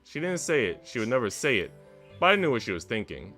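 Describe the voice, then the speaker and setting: A man's voice: mid-range voice, sing-song, quick pace, bright, forceful tone, soft background music. A man voicing an earnest, well-mannered young woman who narrates a visual novel in a formal, reflective way. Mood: wistful.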